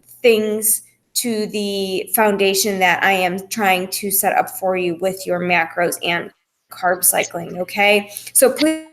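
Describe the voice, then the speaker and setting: A woman's voice: mid-range voice, fairly bright tone. A woman speaking as a fitness coach, explaining things informally to a group in a reassuring, careful way. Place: phone call presentation.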